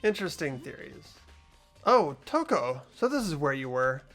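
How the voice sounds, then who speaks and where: A player acts out an earnest, mild-mannered teenage boy detective from a game, thinking aloud. The delivery is hesitant but animated in pitch, with music underneath. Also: normal speed. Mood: earnest, placating. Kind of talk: amateur voice acting and inner monologue of a game script.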